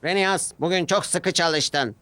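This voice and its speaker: A man voicing a firm but kindly railway controller with a measured, authoritative manner in a children's cartoon. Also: on the high side, pushed and bright, soft background music, pitched above the performer's own voice. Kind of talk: children's cartoon character dialogue.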